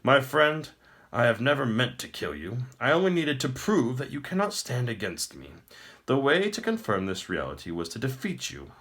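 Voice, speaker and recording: A man voicing a gloating, smooth-talking wizard emperor in a storybook, theatrical, grandly formal and mockingly courteous. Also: medium pitch, big pitch swings, normal speed, fairly bright tone, pitched above the performer's own voice. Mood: smug, magnanimous. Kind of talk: audiobook character acting.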